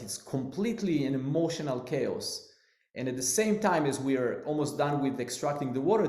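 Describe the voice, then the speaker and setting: A man's voice: mid-range voice, darkish tone. Israeli-accented man telling his story. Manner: earnest, loosely phrased, non-native grammar. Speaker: business owner guest. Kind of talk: storytelling interview answer. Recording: roomy echo.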